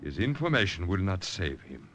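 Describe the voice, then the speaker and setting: An actor playing a hard-bitten, vengeful partisan in an old-time radio drama. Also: male voice, low voice, very animated, moderate pace, fairly bright tone, slight background noise, exaggerated voice. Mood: grim vengefulness.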